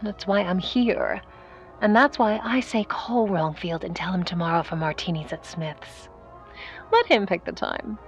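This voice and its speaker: An actress playing an ambitious, hard-boiled young woman of the 1950s in a vintage audio drama, speaking deliberately and defiantly. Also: fairly low pitch, faint music behind. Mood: determined.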